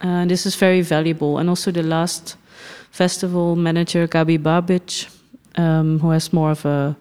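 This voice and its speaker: Middle-aged woman from the netherlands, festival director, smooth clear voice, lots of ums and little laughs. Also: sits low, little pitch movement, moderate pace. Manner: thoughtful, frequent "um", laughs softly. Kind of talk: podcast interview.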